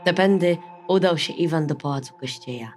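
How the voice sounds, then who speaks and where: A woman storyteller narrating a bedtime fairy tale in an expressive, scripted style. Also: deep voice, a little fast. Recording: music under the voice.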